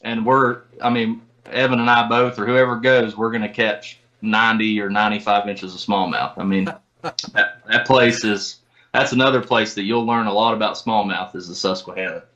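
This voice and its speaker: A man with a fairly low but bright, forceful voice chats informally as a podcast guest. His phrasing is folksy and conversational. The sound is slightly dull and lo-fi. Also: steady tone, normal speed. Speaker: podcast guest, tournament kayak angler. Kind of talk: casual interview chat.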